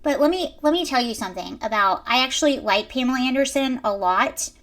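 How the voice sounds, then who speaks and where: A young woman with a high-pitched voice giving emphatic commentary straight to her viewers. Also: animated, a little fast, fairly bright tone. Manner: emphatic, lecturing, addresses viewers directly. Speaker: commentary host. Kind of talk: reaction commentary to camera.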